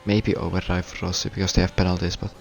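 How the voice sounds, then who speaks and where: A Finnish man commentating a sim race in English, a second language for him, with a halting, stuttering delivery. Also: deep voice, level tone, creaky. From Finland. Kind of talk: live sim racing commentary.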